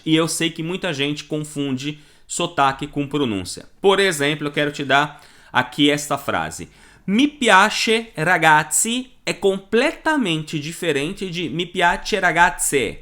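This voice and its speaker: A high-pitched man with a Brazilian Portuguese accent teaches an online course in a lively, emphatic way. He talks straight to the viewer and often asks rhetorical questions. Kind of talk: live online lesson.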